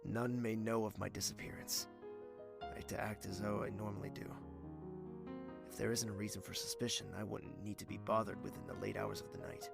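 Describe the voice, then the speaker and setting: A man's voice: fairly low pitch, flat delivery, fairly quick, gravelly. A man playing a tender, secretive young prince with a formal, hesitant way of speaking in a romantic audio drama. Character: soft-spoken young prince in love, secretive and wistful. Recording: music under the voice.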